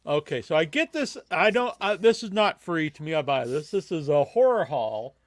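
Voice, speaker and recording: A man with a slightly high voice hosts a casual horror podcast. He speaks haltingly and wanders as he goes, often letting sentences trail away. The sound is dry, with little room in it. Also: big pitch swings, no room sound.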